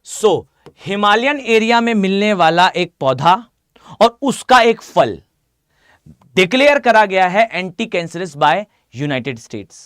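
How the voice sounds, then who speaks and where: A hoarse man with an Indian accent teaching a live online class, often asking students to reply. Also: sits high, very animated, slow, fairly bright tone, dry recording, clean studio sound. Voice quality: mixes Hindi with English phrases. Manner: addresses students directly, asks them to reply.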